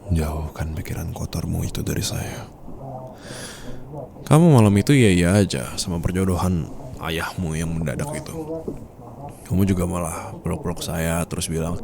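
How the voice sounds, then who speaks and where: A man's voice: deep voice, creaky. A man playing a teasing, possessive boss in love with an employee, in an intimate romantic ASMR roleplay. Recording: noticeable background noise, ambient sound effects.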